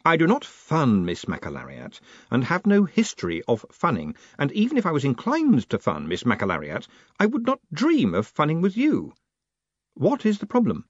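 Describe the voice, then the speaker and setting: A man voicing a flustered, nervous young postmaster who talks meekly with a jumpy, raised pitch. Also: huge pitch swings, normal speed, husky, no room sound, muffled recording, heavily acted. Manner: flustered, meek, pitch jumping high.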